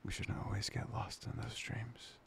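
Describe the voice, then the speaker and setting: A man playing a soft, loving boyfriend in an intimate ASMR roleplay, half-whispering breathily and soothingly up close to the mic. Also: a little hiss or hum, natural voice. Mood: tender, confessional. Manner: slow, pausing, soothing. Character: soft, playful, loving boyfriend, tender and reassuring. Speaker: audio roleplay performer. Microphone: close microphone.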